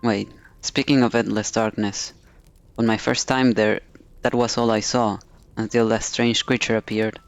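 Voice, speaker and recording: A man's voice: on the low side, level tone, normal speed, vocal fry, soft background music. A man streaming a video game, reading the dialogue aloud and commenting on the story as he goes.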